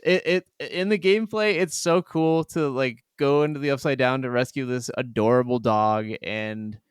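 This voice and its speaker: A man's voice: mid-range voice, normal speed, smooth, dry recording, studio quality. A man co-hosting a podcast, chatting casually and enthusiastically about video games and laughing easily. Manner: enthusiastic, gushing. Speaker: podcast co-host.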